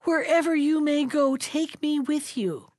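A woman's voice: sits high, unhurried, darkish tone, dry recording, studio quality, voice pushed up. A woman voicing an old, lonely male turtle who speaks in a shaky, pleading way in a storybook reading.

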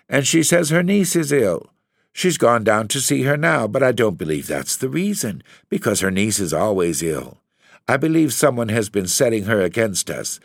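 A man's voice: mid-range voice, vocal fry, no room sound, studio quality, pitched above the performer's own voice. Male narrator doing a tearful, fretting young wife, plaintive, voice lifted well above his own. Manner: plaintive, complaining.

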